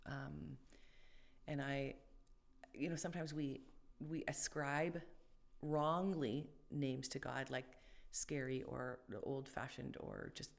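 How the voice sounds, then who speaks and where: A woman co-hosting a casual faith podcast, speaking in a low voice with a gravelly edge. She circles her thoughts with fillers and restarts. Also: steady tone, slow. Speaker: podcast co-host.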